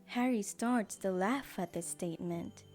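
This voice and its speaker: A woman's voice: mid-range voice, a little slow, a little mellow, soft background music. A woman reading scripted narration as the narrator of an audio drama.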